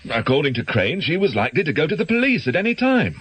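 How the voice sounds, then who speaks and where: An actor playing an anxious, guilt-ridden doctor in a radio drama, speaking in hesitant, defensive, educated phrases. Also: male voice, a little high, sing-song, fast talker, fairly bright tone, husky, exaggerated voice. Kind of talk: naturalistic radio drama acting.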